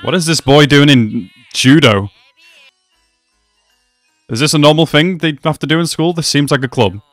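A man's voice: on the high side, normal speed, fairly bright tone, dry recording, faint music behind. A man in his twenties giving slangy, amused reaction commentary in a casual way, close to a desk microphone. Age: 20s. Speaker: reaction video host. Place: small indoor room.

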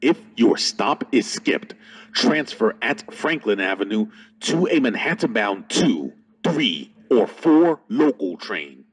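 A man reading scripted transit service announcements as an announcer, in a formal, measured manner. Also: fairly low pitch, very animated, breathy edge, low-quality recording.

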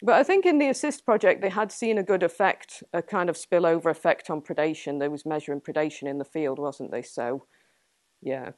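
A woman's voice: low-pitched, brisk, a little mellow, dry recording, clean studio sound. A young woman with an English accent answering audience questions after a talk, thinking aloud and hedging, laughing now and then. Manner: thoughtful, hedging, laughs often. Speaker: guest speaker, entomologist. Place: small indoor meeting room with audience.

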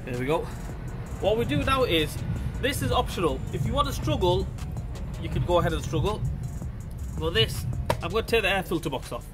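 A man's voice: high-pitched, animated, fairly quick, over music. A man with a blocked, stuffy nose talks viewers through a car repair in a casual, chatty way, recorded on a phone held close to the engine. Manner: chatty, informal, addresses viewers as guys. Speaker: mechanic presenting a repair video. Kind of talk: casual how-to commentary while working.

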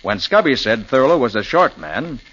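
A man's voice: mid-range voice, big pitch swings, fairly bright tone. An actor playing a confident, clever male detective explaining a case in a 1940s radio drama. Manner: brisk, explanatory. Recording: dull old radio recording with faint hiss.

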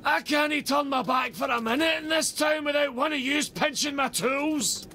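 A man's voice: extremely high pitch, normal speed, pushed and bright, slight background noise, heavily acted. An actor playing a grumpy, blustering British tradesman in a cartoon game, speaking colloquially over music. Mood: indignant. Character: grumpy, accusing tradesman with endless odd jobs.

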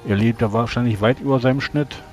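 A man speaking in character in a tabletop role-playing game, arguing in a deliberate, formal way. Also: medium pitch, faint music behind. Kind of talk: in-character improvised game dialogue.

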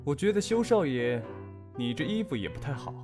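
Style-critic club host, male actor, lively, music behind, audio drama. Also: mid-range voice, a little fast, close to an everyday voice. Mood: advising. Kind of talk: Chinese fan audio drama acting.

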